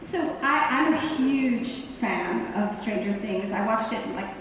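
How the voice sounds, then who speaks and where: A woman's voice: medium pitch, animated, unhurried, fairly bright tone. Woman moderating in an echoey hall. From born in Iran. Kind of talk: hosting a live Q&A. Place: large hall before an audience. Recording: echoey hall, distant mic, background noise.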